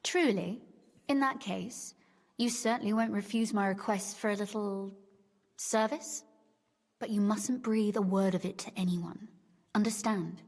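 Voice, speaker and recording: A woman's voice: medium pitch, a little slow, darkish tone, in a large hall. An actress playing a shrewd, teasing young medieval noblewoman in a video game, speaking in articulate, formal phrases. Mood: coy, secretive. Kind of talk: scripted game dialogue.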